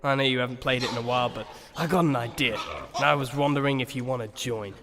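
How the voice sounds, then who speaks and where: A man's voice: medium pitch, fast talker, a crowd in the background, natural voice. A man playing a mopey, anxious horse who dreams of music, rambling and sarcastic in an audio story. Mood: friendly, eager. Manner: sighing, rambling self-talk, sarcastic. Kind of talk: cartoon-like audio story acting.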